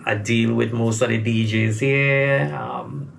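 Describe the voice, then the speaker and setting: A man's voice: mid-range voice, fairly even intonation, takes it slowly, gravelly, a little hiss or hum. A man from Trinidad with a faded Trinidadian accent, answering interview questions in a hesitant way and repeating words as he starts. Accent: faint Trinidadian, mostly lost. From Trinidad and Tobago. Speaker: recording artist guest. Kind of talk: casual interview.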